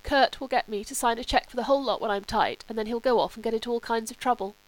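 A woman's voice: on the high side, fast, no echo, pitched above the performer's own voice, close to an everyday voice. A woman voicing a frail, languid young upper-class Englishman in an audiobook, with a wistful manner. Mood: languid, resigned. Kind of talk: audiobook character reading.

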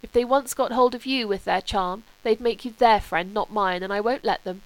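A woman's voice: mid-range voice, normal speed, no room sound, close to an everyday voice, low-quality recording. A woman voicing a moody, wry young upper-class male student. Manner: languid, wry, turning curt. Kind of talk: audiobook character voice.

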